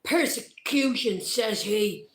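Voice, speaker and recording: A woman's voice: fairly high pitch, slow. A woman voicing a belligerent, scornful nationalist man in a live reading. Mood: scornful, heated. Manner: sneering, heated taunts. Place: community centre hall, live event. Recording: roomy hall echo.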